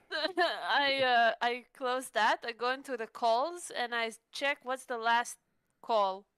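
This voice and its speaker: A woman's voice: fairly high pitch, slow pace, fairly bright tone, clear, resonant tone, no room sound. A woman playing a tabletop roleplaying game, chatting casually and asking lots of questions.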